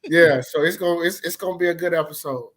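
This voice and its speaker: A man co-hosting a podcast with a slightly high voice. He talks in a playful, slangy way and keeps the chat moving. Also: fast, fairly bright tone. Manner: playful, slangy, hyping up the show. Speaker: podcast co-host.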